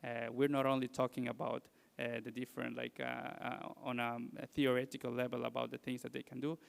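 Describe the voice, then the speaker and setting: A man's voice: mid-range voice, monotone, a little fast, creaky, clean tone. A man from Mexico, a native Spanish speaker with a Mexican accent, presents to an audience as a conference speaker in a hesitant, conversational way, posing rhetorical questions. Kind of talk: public presentation.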